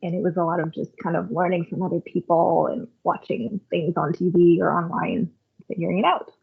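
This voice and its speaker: A woman's voice: on the low side, steady tone, a little fast, vocal fry, breathy edge. A woman, a podcast guest, chatting in a reflective way and telling stories with plenty of "like" and "um". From Canada. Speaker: podcast guest, worship leader. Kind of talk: casual conversational storytelling. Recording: phone-like call line.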